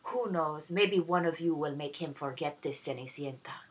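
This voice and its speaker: A woman's voice: deep voice, normal speed, close to an everyday voice. A woman voicing a haughty, scheming older mother who is commanding and fussy in a storybook. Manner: commanding, fussy, gushing to guests.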